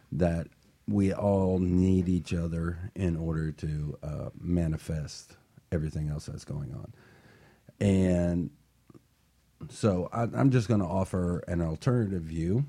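A man with a very low, somewhat husky voice hosts a radio talk show. He sets out his opinions in a reflective, halting manner, often repeating a word before going on. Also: warm tone.

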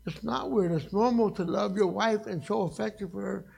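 A middle-aged man with a raspy voice, preaching a sermon in a church as a guest evangelist, passionate and conversational, joking with the congregation. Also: high-pitched, normal speed, darkish tone. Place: church sanctuary.

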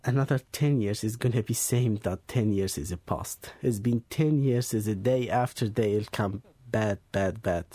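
A man's voice: fairly low pitch, moderate pace, hardly any pauses, warm tone, no echo. Young man with Iraqi Kurdish accent. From Iraq. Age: young adult. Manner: plain, broken-English phrasing, frank. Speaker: interviewee.

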